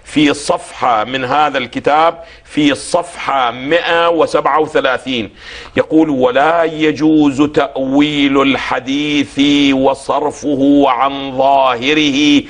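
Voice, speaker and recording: A hoarse man, a religious scholar on a TV programme, lecturing in a didactic way and asking questions for the host to answer. He reads quoted passages slowly and deliberately. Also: medium pitch, fairly even intonation. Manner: slow, deliberate when reading quotations.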